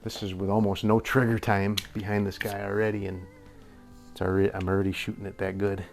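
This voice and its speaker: An older man with a slightly hoarse voice, rambling through a casual airgun review in a workshop and chuckling often. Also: lowish voice, flat delivery, brisk, music in the background. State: a little hoarse. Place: indoor workshop with tool wall. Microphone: camera at a few feet.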